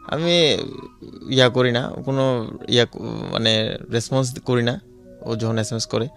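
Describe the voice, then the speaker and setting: A Bangladeshi man telling a personal story conversationally, haltingly and with repeated phrases, often checking that he is followed. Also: mid-range voice, fairly even intonation, fairly bright tone. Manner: repetitive, halting telling with frequent check-in questions. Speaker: guest telling his life story. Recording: music behind the voice. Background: background music.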